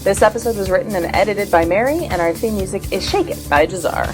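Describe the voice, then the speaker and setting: A woman's voice: mid-range voice, expressive, fast, music in the background. A woman reading scripted credits as a podcast announcer.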